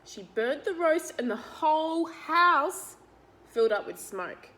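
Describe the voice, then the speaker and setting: A woman's voice: sits high, animated, slow pace, sharp tone, poor audio. An Australian woman reading a picture book aloud to young children, expressively, talking to them directly with simple explanations and questions.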